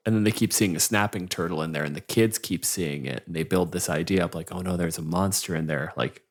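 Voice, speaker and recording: Low-pitched man chatting casually. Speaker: podcast co-host. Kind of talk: casual podcast chat.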